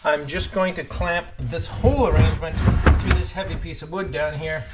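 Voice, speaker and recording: A middle-aged man casually talking through his hands-on work to the camera, with halting pauses as he goes. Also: fairly high pitch. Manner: talks haltingly while working, with pauses. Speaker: vlog host, boat restorer. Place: inside a wooden boat in a shed and at its workbench. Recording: noisy workshop recording with clicks.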